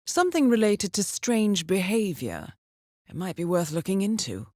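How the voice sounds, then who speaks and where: An actress playing a composed, formal and dryly witty intelligence handler who briefs an assassin in a video game. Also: female voice, medium pitch, big pitch swings, normal speed, a little mellow, dry recording, clean studio sound, natural voice. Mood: composed, businesslike. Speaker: mission handler.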